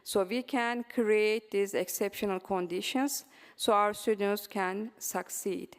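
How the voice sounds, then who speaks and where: A woman's voice: mid-range voice, steady tone, slow pace, in a large hall. A woman from Turkey with a Turkish accent, giving an earnest conference talk on teaching, with many ums and uhs. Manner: frequent um and uh fillers, earnest and encouraging. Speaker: presenter. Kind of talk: conference presentation. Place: symposium hall.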